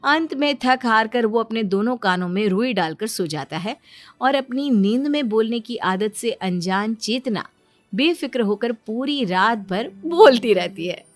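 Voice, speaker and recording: Woman reading a cartoon story, highish voice, faint music under it. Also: animated, a little slow, dry recording. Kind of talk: scripted cartoon story narration.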